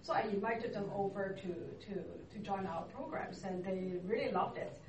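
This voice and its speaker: A woman speaks at a medium pitch, slowly and haltingly, pausing often to find her words. She is picked up from some distance in an echoey church hall with clear background noise around her. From Hong Kong. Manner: unhurried, halting, searching for words. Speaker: interview guest, church ministry worker. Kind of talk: casual interview. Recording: echoey room, distant mic, noisy.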